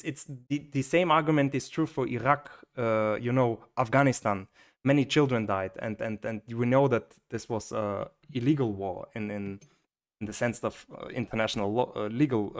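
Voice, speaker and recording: Man hosting, clear smooth voice. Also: medium pitch. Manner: hesitant, with many fillers and repeated words. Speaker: interview host. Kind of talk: conversational interview.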